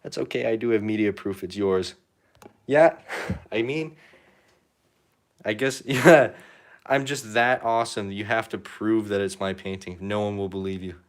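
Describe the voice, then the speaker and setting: A young man chatting casually while painting on a live stream, rambling and self-deprecating, his pitch rising at the ends of phrases. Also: normal speed, long pauses. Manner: rambling, self-deprecating, reads chat comments aloud. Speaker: painting livestreamer.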